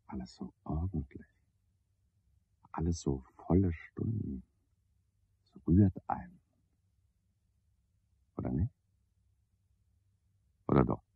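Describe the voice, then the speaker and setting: A man's voice: rumbling low, gravelly, breathy edge, no room sound, full character voice. An actor playing a self-important, touchy chairman of a mushroom club in a radio play, talking with cajoling pomposity. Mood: brooding, hushed. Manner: pompous, cajoling, one-sided conversation. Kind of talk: 1970s German radio play acting. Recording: dull, band-limited 1970s radio recording.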